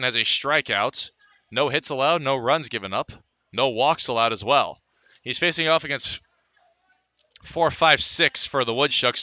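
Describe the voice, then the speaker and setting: A man calling live baseball play-by-play on radio, filling in player stats between pitches. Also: sharp tone. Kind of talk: live sports commentary.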